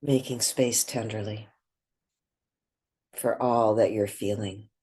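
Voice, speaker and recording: A woman guiding a meditation, speaking gently in short, measured phrases with long pauses between them. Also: extremely low pitch, steady tone, husky. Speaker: guided meditation leader. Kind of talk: guided meditation.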